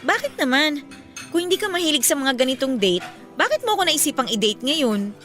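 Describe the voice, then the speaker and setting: A woman's voice: high voice, very animated, brisk, a bit bright, soft background music. An actress playing a playful, affectionate young woman in a Filipino radio romance drama, with a teasing, conversational way of talking. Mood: teasing, curious.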